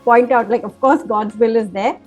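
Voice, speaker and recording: High-voiced Indian woman host, encouraging. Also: normal speed, faint music behind. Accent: Indian English. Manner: encouraging, leading questions. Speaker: host, teacher mentor. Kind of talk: interviewing a student.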